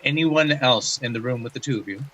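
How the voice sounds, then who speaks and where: An actor playing a formal, methodical investigator of strange events, speaking in a clinical, hesitant, measured way in an audio drama. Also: male voice, mid-range voice, a bit bright, breathy edge, lo-fi sound. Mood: probing, polite. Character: formal, methodical paranormal investigator, male, narrating case tapes. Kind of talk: audio drama, scripted case-file narration and interview.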